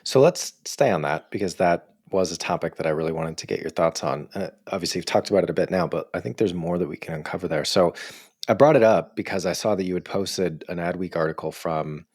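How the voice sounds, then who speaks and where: A man's voice: sits low, brisk, darkish tone, slightly rough, dry recording, clean studio sound. A man hosting an interview podcast, talking in a conversational, reflective and discursive way. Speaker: podcast host.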